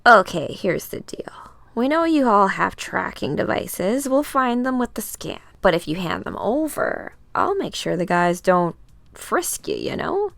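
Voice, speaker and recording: A woman's voice: mid-range voice, huge pitch swings, unhurried, gravelly, a bit bright, no echo, exaggerated voice. A woman voicing a cocky male villain's henchman in a comic book reading, slangy, mocking and menacing. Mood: smug, threatening.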